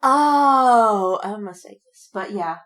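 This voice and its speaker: A woman's voice: mid-range voice, slow pace. A woman co-hosting a podcast in casual fandom chat, rambling with lots of "like" and swearing, acting out people's reactions as she retells them. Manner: animated retelling with quoted reactions. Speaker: podcast co-host.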